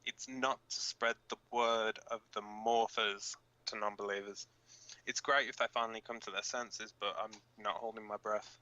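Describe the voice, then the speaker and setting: A man's voice: on the low side, steady tone, moderate pace, pushed and bright, dry recording, lo-fi sound. A man playing a blunt, cynical resistance fighter co-hosting an underground broadcast in a radio drama, speaking informally. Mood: awkward, skeptical.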